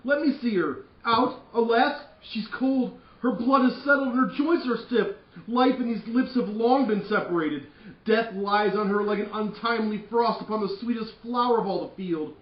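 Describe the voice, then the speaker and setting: A man's voice: high-pitched, very animated, a little slow, pitched above the performer's own voice. A man reading aloud as a bustling, then grieving old father in a classroom play reading. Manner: loud, stretched-out declaiming. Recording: distant, roomy, slightly dull recording.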